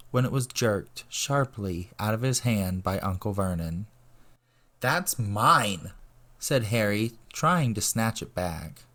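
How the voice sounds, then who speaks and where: A man reading as the narrator of a dramatic audiobook. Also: fairly low pitch.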